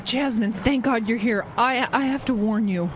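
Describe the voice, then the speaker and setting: Actress as anxious insistent young-sounding friend, slightly high voice, earnest, audio drama, faint noise behind. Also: female voice, moderate pace, close to an everyday voice. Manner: earnest, fretful. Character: anxious, urgent, secretive female friend.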